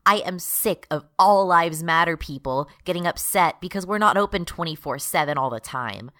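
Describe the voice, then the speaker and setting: A woman's voice: on the low side, lively intonation, moderate pace, bright, forceful tone. A woman playing an exasperated non-binary retail worker turned rebel leader, ranting in a radio drama monologue.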